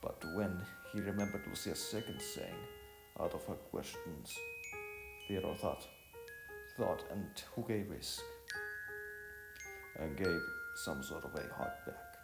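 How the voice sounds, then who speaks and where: A man's voice: low voice, takes it slowly, long pauses. A man reading a scripted story as narrator, often stumbling and repeating phrases as he goes. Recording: roomy echo, clear music bed. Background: music.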